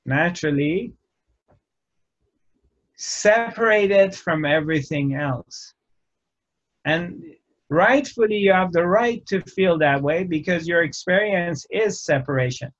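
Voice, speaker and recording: A man speaking very slowly, with a slightly raised pitch, as he gives a contemplative spiritual teaching. He talks in short, deliberate phrases and leaves long gaps between them. The recording sounds dull and lo-fi. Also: fairly bright tone. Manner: slow, measured, pausing often between phrases. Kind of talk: reflective spiritual talk.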